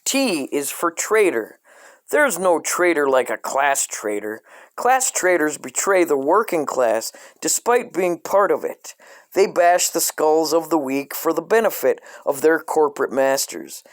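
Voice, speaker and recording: A man reading a dark comic coloring book aloud in a deadpan way, breaking off into profane asides. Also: a little high, measured pace, no room sound, studio quality.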